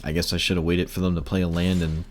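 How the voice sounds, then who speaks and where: A man streaming a card game, talking through his plays in casual commentary, hoarse and losing his voice, wry and muttering at bad luck. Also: low voice, flat delivery, fast, a little hiss or hum. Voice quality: breaking, cracking voice.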